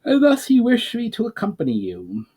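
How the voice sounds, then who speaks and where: A man's voice: very high-pitched, wildly swooping pitch, moderate pace, a little mellow, breathy edge, pitched above the performer's own voice, full character voice. A man voicing a proud, shrewd goblin leader in a storybook reading, speaking formally and insistently. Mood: matter-of-fact.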